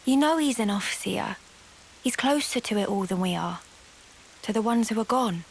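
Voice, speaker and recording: A woman's voice: mid-range voice, lively intonation, moderate pace, vocal fry, a little hiss or hum, rough recording. A woman playing a cheeky but caring young female soldier in a game cutscene, blunt and informal. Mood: gentle, frank. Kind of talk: video game cutscene acting.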